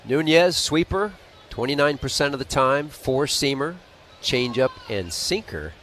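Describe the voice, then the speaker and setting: A man's voice: medium pitch, expressive, a little slow, a bit bright. An American man calling live baseball play-by-play on the radio in a conversational style.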